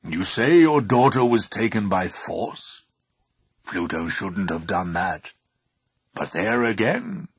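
A man voicing a gruff, evasive ruler of the gods in a storybook. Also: low voice, very animated, takes it slowly, no room sound, clean studio sound, full character voice. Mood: hedging. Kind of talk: storybook character voice.

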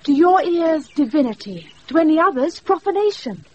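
A British actress playing a quick-witted young woman disguised as a saucy page boy in a Shakespeare radio play, recorded off a wireless. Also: high-pitched, very animated, dark tone, lo-fi sound. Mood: bold, pleading. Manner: saucy, theatrical, breaking into laughter.

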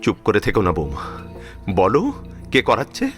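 A man's voice: lowish voice, big pitch swings, normal speed, faint music behind. An actor playing a protective, grieving older father-in-law in a Bengali audio drama, speaking emphatically and emotionally with a Bengali accent. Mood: indignant. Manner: emphatic, emotional, repeats phrases.

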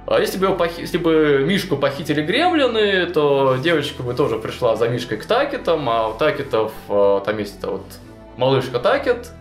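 A young man casually retelling a tabletop game campaign to a co-host, animated and full of fillers and restarts, in a small indoor studio. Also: fairly high pitch, fairly quick. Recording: roomy echo, faint music behind.

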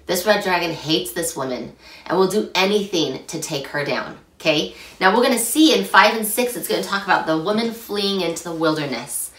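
A young woman with a slightly rough, hoarse voice talks through a scripture lesson in a chatty, animated way. Her voice sits a little low but sounds bright and forceful. It is caught from some distance in an echoey room at home. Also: normal speed. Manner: chatty and animated, gesturing a lot. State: hoarse after illness. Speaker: scripture study host. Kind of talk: casual scripture lesson to camera.

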